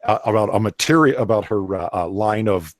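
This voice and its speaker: A man's voice: on the low side, brisk, no room sound, studio quality. A man hosting a casual live panel chat, opinionated and talking in bursts with frequent restarts. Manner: opinionated, animated, often restarts. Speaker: podcast host.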